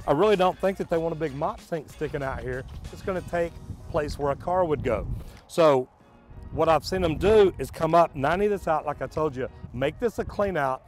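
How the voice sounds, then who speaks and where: A middle-aged man, a plumber walking viewers through a building site outdoors and explaining his work in a folksy way, talking straight to them. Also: fairly high pitch. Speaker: plumber, channel host.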